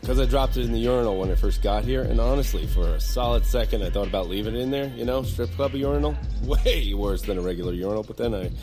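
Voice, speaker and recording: An actor playing a shameless boozy slacker in an audio drama, rambling, breezy and excitable. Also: male voice, mid-range voice, fast, few pauses, lightly acted. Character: cheerful shameless boozy slacker delinquent guy. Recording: music behind the voice.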